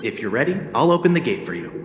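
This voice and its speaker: An actor playing a polite, formal official who receives guests in a video game. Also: male voice, mid-range voice, sing-song, quick pace, background noise, natural voice. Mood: polite, welcoming. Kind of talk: English game voice acting. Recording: echoey hall with background ambience.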